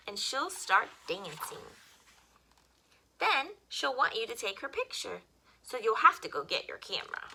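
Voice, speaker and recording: A woman reading picture books aloud to young children as a story time host, with expressive, simple phrasing. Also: a little high, husky.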